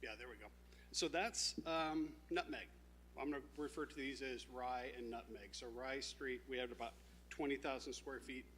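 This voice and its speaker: A man presenting his company's plans at a town zoning meeting, speaking informally with many fillers and restarts, and a loud voice by his own account. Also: medium pitch, normal speed, slight background noise. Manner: says he has a loud voice.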